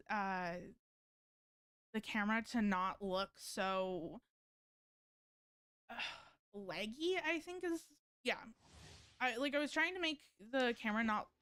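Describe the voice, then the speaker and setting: A woman chatting casually on a game livestream in a hesitant, halting way that often trails off. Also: on the high side, fairly bright tone, smooth, no room sound. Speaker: video game streamer.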